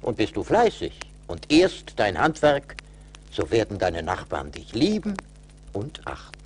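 A solemn, forgiving forest spirit in a fairy-tale radio play. He admonishes in a low, slow voice with broad melodic swings. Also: wildly swooping pitch, a little hiss or hum. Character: stern but forgiving little glass forest spirit. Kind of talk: theatrical radio play acting.

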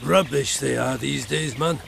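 A man voicing a grumpy, cheeky little fairy creature with a Welsh accent, blunt and impatient, in an animated fantasy game. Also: medium pitch, unhurried, gravelly, a little hiss or hum.

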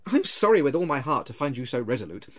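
A man voicing a cheerful, warm-hearted nephew in a storybook reading, eager and earnest. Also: mid-range voice, sing-song, fast talker. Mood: warm, pleading.

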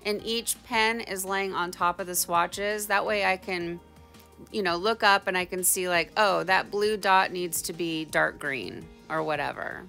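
A woman's voice: on the low side, a little slow, vocal fry, pushed and bright, faint music behind. A woman giving a chatty, informal instructional voice-over as a craft tutorial host. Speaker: tie-dye tutorial host.

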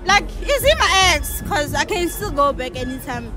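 A young woman with a very high voice answers bluntly and brashly, peppering her talk with slang. Club music and a loud crowd surround her. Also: big pitch swings, normal speed. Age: young adult. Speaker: interviewee. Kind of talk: casual banter. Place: lounge bar at night, indoors.

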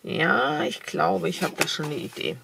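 A woman's voice: on the low side, very animated, moderate pace, creaky, background noise. A woman with a cold, chatting casually and enthusiastically while showing craft supplies at her desk. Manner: chatty, enthusiastic, many fillers. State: has a cold. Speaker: crafting vlogger. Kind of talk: casual haul video. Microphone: camera over a desk, hands only.

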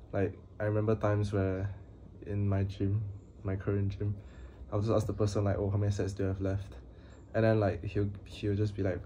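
A young man speaks to his camera in a small room, with a low, mellow voice that stays flat and monotone. He talks haltingly, with many fillers and long pauses. Faint room ambience sits behind him. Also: a little hiss or hum. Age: young adult. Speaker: vlogger. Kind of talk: casual talk to camera.

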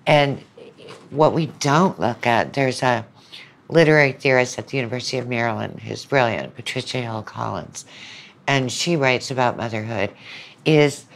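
Very low, creaky-voiced woman lecturer. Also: moderate pace. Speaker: university lecturer, podcast guest. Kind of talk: conversational explaining.

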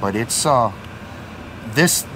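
A middle-aged man explains things in a loose, rambling way, doubling back on words. He sits outdoors at a marina, picked up by a camera a few meters off. Clear outdoor noise runs under his voice. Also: mid-range voice, expressive, slow pace. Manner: talkative, repeats words, many fillers. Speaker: vlog co-host. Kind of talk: casual explanatory chat. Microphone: fixed camera at a few meters.

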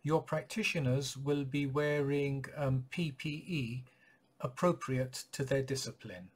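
A man in his forties, a clinic practitioner, giving patients an informational update in a measured, polite, slightly husky voice. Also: mid-range voice, steady tone. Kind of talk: informational announcement to camera. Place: small home office or study, indoors.

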